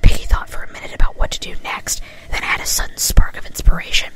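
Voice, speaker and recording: A woman reads a story in an ASMR manner. She keeps to a whisper nearly throughout, with almost no voiced tone, as an amateur narrator would for close listening. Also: fast talker.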